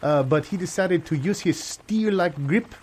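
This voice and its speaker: A man playing a dry, pedantic co-announcer in improvised comedy commentary, delivered deadpan. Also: high-pitched, normal speed, slight background noise, natural voice. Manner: deadpan, correcting his partner. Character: dry, pedantic male co-announcer of a live event.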